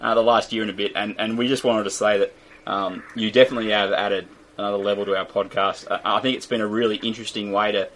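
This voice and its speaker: A man's voice: lowish voice, flat delivery, fast talker, fairly bright tone, a little hiss or hum. An Australian man co-hosting a casual podcast, stumbling and repeating words as he talks. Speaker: podcast co-host.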